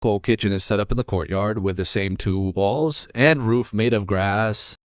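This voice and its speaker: A man's voice: on the low side, normal speed, no room sound. A man reading scripted narration for a cartoon story, as the narrator.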